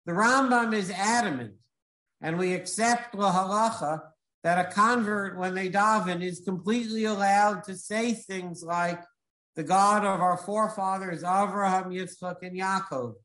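Man, high voice, rabbi lecturing, measured and emphatic, echoey room. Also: sharp tone. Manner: measured, explanatory, with emphatic repetition.

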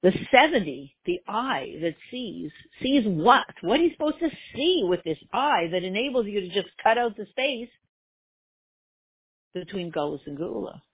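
A woman's voice: mid-range voice, big pitch swings, normal speed, breathy edge, no room sound. A woman giving a religious class, explaining with rhetorical questions and everyday examples. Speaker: Torah teacher. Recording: tinny phone-like line.

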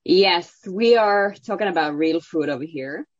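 Guest chef, woman, lowish voice, English speaker, eager and rambling, dry and lo-fi recording. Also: expressive, a little slow, dry recording. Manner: warm, enthusiastic storytelling with fillers. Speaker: guest, celebrity chef and cookbook author. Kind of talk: interview answers. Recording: dull, dry audio.

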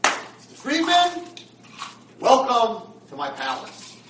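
A man's voice: medium pitch, very animated, moderate pace, fairly bright tone. A man playing a kindly, happy-go-lucky, talkative old nursing-home resident in a spoken story, earnest and persistent. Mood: cheerful, concerned. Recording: roomy echo, distant mic, some background noise.

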